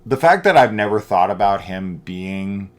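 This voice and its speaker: Low-voiced man chatting about films. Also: lively intonation, normal speed. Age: middle-aged. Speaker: film review host. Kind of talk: casual film review chat.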